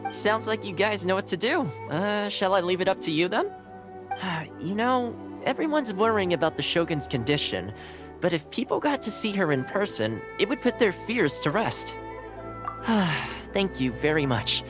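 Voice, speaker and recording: A woman's voice: medium pitch, very animated, normal speed, lightly acted. A woman voicing an easygoing, grateful acquaintance of the heroes in a video game, casual and unassuming. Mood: hesitant, relieved. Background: game music.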